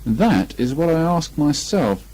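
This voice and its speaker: A man voicing a slow, earnest, rather gloomy male toy bear who ponders hesitantly in a children's story. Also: on the high side, very animated, a little mellow, voice pushed up. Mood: pondering, mysterious. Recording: muffled amateur 1980 home recording.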